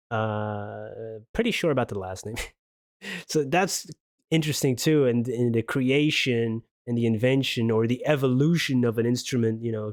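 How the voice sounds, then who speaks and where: A man whose first language is Dutch talks casually through a reaction video, chatty and thinking aloud, with frequent laughter. His voice sits at an ordinary middle pitch and sounds close and dry, with little room around it. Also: no echo.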